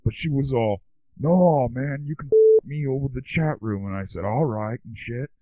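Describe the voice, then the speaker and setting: A man playing a dim, excitable young slacker who rambles through slangy stories in a comic monologue. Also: mid-range voice, normal speed, dry recording, close to an everyday voice. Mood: baffled, excited. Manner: slangy, rambling surfer-dude talk. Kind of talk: comic monologue, casual storytelling. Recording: thin phone-like lo-fi sound.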